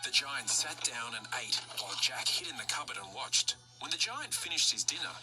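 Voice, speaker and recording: A woman storyteller reading a children's story aloud with expressive narration. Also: a bass voice, normal speed, over music.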